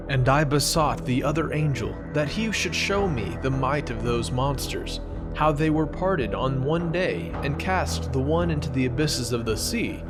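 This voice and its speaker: Male actor as ancient prophet, solemn and measured, mid-pitched, narrating visions over clear background music. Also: lightly acted. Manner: measured, reverent, archaic storytelling. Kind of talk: dramatized scripture narration.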